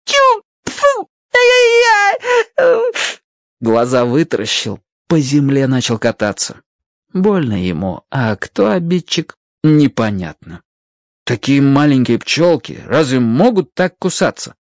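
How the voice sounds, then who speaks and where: An expressive narrator reading a children's animal story in a dramatic, scripted storytelling manner. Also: medium pitch, measured pace, no room sound. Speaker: audiobook narrator.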